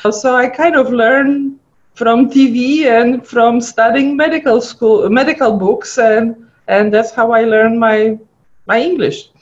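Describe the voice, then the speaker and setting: Middle-aged Polish woman, higher voice, chatty storyteller, dull lo-fi sound. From Poland. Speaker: guest pathologist. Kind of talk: casual interview chat. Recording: dull lo-fi audio.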